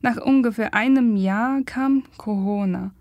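A young woman from China, reading a scripted first-person report in careful, measured German. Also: fairly high pitch, darkish tone, no room sound, dull audio. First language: Chinese. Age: young adult. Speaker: student reporter.

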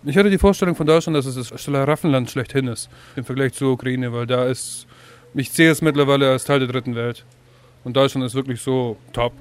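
A man's voice: medium pitch, fairly quick, clear, resonant tone. A man answering interview questions in a blunt, matter-of-fact way.

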